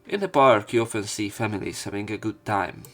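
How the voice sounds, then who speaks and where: A man reading visual novel narration aloud as a let's play commentator, somewhat halting and sick with a cough. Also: lowish voice, lively intonation, faint music behind.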